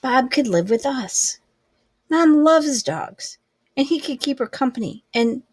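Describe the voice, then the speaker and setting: A woman voicing an earnest, tender-hearted young girl in a read-aloud storybook, with a pleading, animated manner. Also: high-pitched, a little slow, breathy edge, dry recording, pitched above the performer's own voice, exaggerated voice. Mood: eager.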